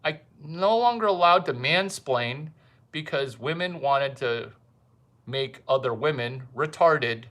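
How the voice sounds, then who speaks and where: A man doing a rambling comic monologue, provocative and fond of repeating his catchphrases with drawn-out emphasis. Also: medium pitch, lively intonation, fairly bright tone. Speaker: comedian host.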